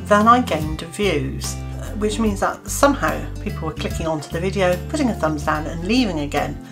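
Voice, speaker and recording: A woman's voice: fairly low pitch, animated, normal speed, music in the background. A British woman in her fifties, a gardening channel host, giving an earnest opinion piece to camera with hesitant restarts and fillers. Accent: British English. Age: 50s.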